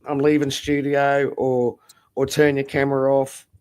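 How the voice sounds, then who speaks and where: A man's voice: medium pitch, flat delivery, a little slow, over a noisy crowd. A man chatting casually and reassuringly on a late-night stream, swearing now and then.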